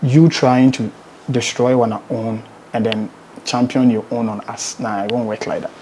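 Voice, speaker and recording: A man's voice: medium pitch, steady tone, normal speed, a little mellow, slight background noise, poor audio. A man with a Ghanaian accent speaking as a musician being interviewed, emphatically, repeating words and posing rhetorical questions. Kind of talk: conversational interview.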